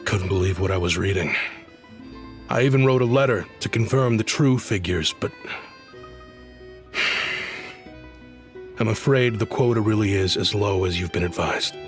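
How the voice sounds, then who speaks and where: A man voicing a diplomatic, conciliatory town official in a video game, speaking in measured, soothing speeches. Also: fairly low pitch, soft background music, natural voice. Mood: earnest, explaining.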